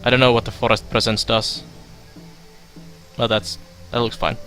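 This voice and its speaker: A young man from Finland giving casual let's play commentary, halting with fillers as he reads game text aloud. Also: medium pitch, level tone, bright, forceful tone, soft background music. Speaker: streamer.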